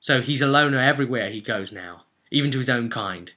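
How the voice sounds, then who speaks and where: A man's voice: mid-range voice, a little fast, pushed and bright. A man narrating a tabletop fantasy game as game master, dramatic and intense, repeating phrases for emphasis.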